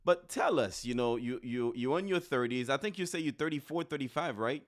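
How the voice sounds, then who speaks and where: A man from Haiti hosting a podcast in an upbeat, chatty way, often repeating words and pausing on fillers. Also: medium pitch. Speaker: podcast host.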